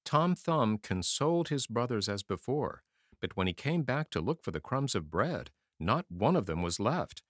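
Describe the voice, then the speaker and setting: A man narrating a fairy tale as an audiobook reader, in a measured, expressive storytelling manner. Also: mid-range voice, no room sound, studio quality.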